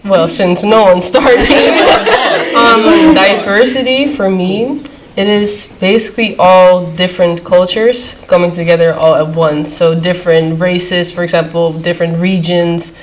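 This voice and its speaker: Lively nineteen-year-old woman guest with a lowish voice who jokes and laughs a lot. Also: unhurried. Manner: lively, joking, laughs often. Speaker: podcast guest. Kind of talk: casual group discussion.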